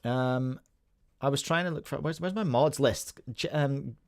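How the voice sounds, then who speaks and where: A man's voice: medium pitch, measured pace, vocal fry, dry recording, studio quality. A Scottish man in his forties hosting a casual livestream podcast, rambling and chatting with viewers by name. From Scotland. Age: 40s. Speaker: podcast host.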